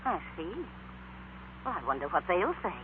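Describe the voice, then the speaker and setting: An American woman playing a principled middle-aged mother in a 1940s radio drama, tender and gently moralizing. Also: lowish voice, sing-song, normal speed. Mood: gently reproachful. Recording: tinny, noisy 1940s radio recording.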